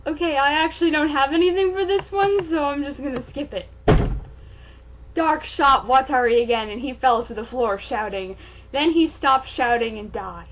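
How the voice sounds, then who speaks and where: A woman's voice: high-pitched, a bit bright, slight background noise, low-quality recording. A woman reading fan fiction aloud as a host, in a theatrical, comic style with sarcastic asides.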